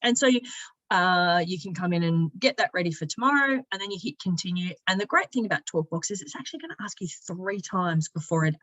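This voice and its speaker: A woman giving a conversational software walkthrough as a company presenter, explaining each step informally. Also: fairly low pitch, lively intonation, brisk, no echo, muffled recording. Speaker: sales and marketing director giving a product demonstration.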